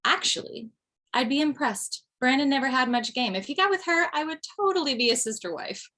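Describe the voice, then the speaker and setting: A woman's voice: sits high, expressive, a little fast, bright, forceful tone, heavily acted. A woman acting a wry widowed mother doing stand-up. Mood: rambling, darkly comic. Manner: wry, rambling, punchy comic delivery. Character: recently widowed mother in her forties, rambling, darkly joking. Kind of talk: table read of stand-up style monologue.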